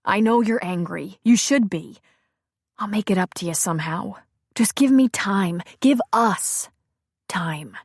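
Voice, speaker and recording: A woman reading an audiobook, voicing a remorseful ex-husband who pleads haltingly for another chance. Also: mid-range voice, slightly rough, dry recording, studio quality. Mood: pleading.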